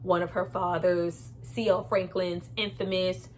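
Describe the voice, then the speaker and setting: A woman's voice: fairly low pitch, flat delivery, a little slow. Woman reviewing a film, noisy background. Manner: candid, opinionated, conversational, emphatic with slangy swearing. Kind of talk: casual spoken movie review.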